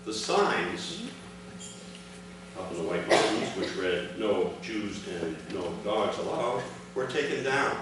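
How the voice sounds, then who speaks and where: An older man reading a prepared speech aloud to a gathering in measured, separate phrases. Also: fairly low pitch, very animated. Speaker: speaker reading his father's account at an award ceremony. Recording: echoey, noisy hall recording from far off.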